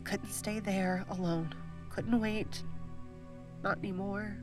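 A young woman playing a lonely, frightened eighteen-year-old, halting and hesitant, recording a personal log in an audio drama. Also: medium pitch, fairly even intonation, over music, close to an everyday voice. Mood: weary, anxious. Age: young adult.

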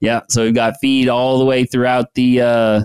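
A man's voice: mid-range voice, little pitch movement, normal speed, a little mellow, smooth, dry recording. A man hosts a podcast interview in a mid-range voice. His questions are loose and rambling, with repeated words. The recording is dry and close. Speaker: podcast host. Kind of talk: casual interview hosting.

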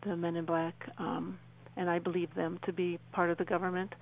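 Middle aged lady on a radio interview, gravelly fry in her voice, telling her own story earnestly. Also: on the low side, flat delivery, brisk, rough recording. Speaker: interview guest. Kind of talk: personal account in a radio interview.

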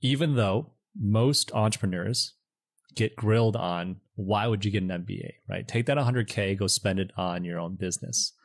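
A man's voice: on the low side, moderate pace. A man in his thirties, born in China and raised in Michigan, telling his story in an upbeat, reflective way. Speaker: recent MBA graduate guest. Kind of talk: casual interview answers.

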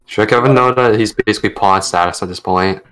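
Low-pitched young man, bright and blunt. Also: a little fast. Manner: blunt, sarcastic, swearing. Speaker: podcast co-host. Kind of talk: casual recap chat.

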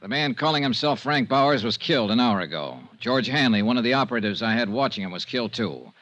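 A man's voice: mid-range voice, animated, fast, fairly bright tone, natural voice. An actor playing a tough, matter-of-fact male insurance investigator in a 1950s radio detective drama. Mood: businesslike. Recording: dull 1950s radio recording, music behind.

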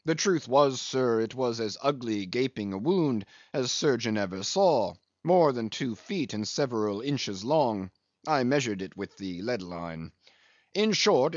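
A man voicing a solemn English ship's surgeon in an audiobook, deadpan, measured and drily pompous. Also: mid-range voice, dry recording, dull audio, natural voice.

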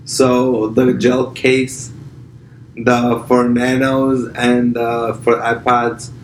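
Man talking haltingly in an echoey room. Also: medium pitch, flat delivery, a little hiss or hum. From Pakistan. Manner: halting, restarts with many fillers. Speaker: podcast guest, entrepreneur. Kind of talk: casual conversation. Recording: echoey room with faint ambience.

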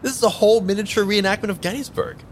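An actor playing an eager, pushy man without his memory in a naturalistic improvised audio drama, halting and repeating himself. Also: high-pitched, a little hiss or hum, natural voice. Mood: excited wonder. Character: eager amnesiac man, pushy, boyishly enthusiastic tarot querent.

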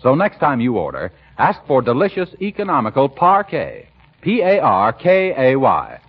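Animated American 1940s radio announcer, slightly husky. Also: male voice, medium pitch, moderate pace. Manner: polished, emphatic salesmanship. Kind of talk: 1940s scripted radio announcing and sponsor pitch. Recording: muffled vintage 1940s radio recording.

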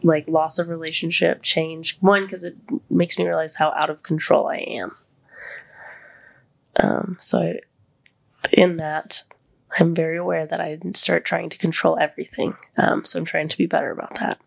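An American woman hosting a podcast in casual, candid chat, with a congested voice that sits deeper than her usual. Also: flat delivery, normal speed, creaky. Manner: candid, conversational. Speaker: podcast host.

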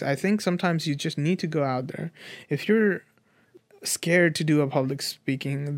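A man's voice: a little high, no echo, studio quality. A man in his twenties from Nepal, giving his opinions in a casual, earnest, rambling conversational way as a guest. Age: 20s. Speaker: interview guest.

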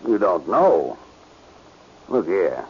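Male heavy, vintage radio mystery: insistent, menacing man, low animated voice, muffled recording. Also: drawn-out words, breathy edge, full character voice. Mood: demanding, threatening. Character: demanding, menacing male diamond owner. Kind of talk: 1940s radio acting.

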